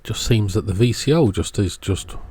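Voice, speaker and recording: A man with a low-pitched voice talks through an electronics repair as he does it. He sounds unscripted, reasoning aloud step by step. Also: animated, normal speed, warm tone, husky. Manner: unscripted, thinking aloud while working. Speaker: electronics repair video creator. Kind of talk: hands-on repair commentary.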